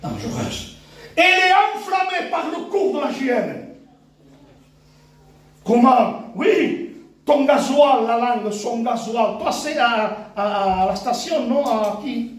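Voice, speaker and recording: A man preaching a sermon with emphasis, repeating phrases and swinging between loud cries and hushed asides. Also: extremely high pitch, animated, takes it slowly. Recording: very echoey hall, mic from far off.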